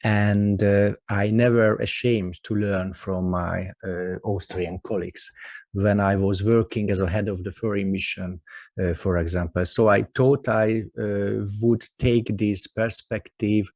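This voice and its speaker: A low-pitched man with a Hungarian accent presents as a guest expert on a video call. His voice has a gravelly, fry-laden edge, and it sounds close and dry with no room around it. He speaks haltingly, filling gaps with "uh" and "also". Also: flat delivery, darkish tone, dry recording. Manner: halting, heavy on "uh" and "also" fillers. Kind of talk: webinar presentation. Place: office with company logo behind him.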